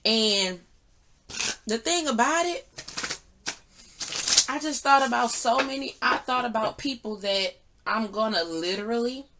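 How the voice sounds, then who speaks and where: A woman chatting casually and candidly to her viewers, slangy and emphatic, repeating phrases for effect. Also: fairly high pitch. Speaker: online tarot and energy reader, channel host. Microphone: phone or similar device propped nearby. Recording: noisy recording with clicks.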